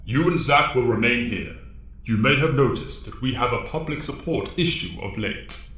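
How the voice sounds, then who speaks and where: An actor playing a solemn, authoritative mentor to young heroes in an audio drama, speaking formally and precisely. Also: male voice, medium pitch, lively intonation, normal speed, pushed and bright. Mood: grave, formal. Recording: echoey, reverberant voice.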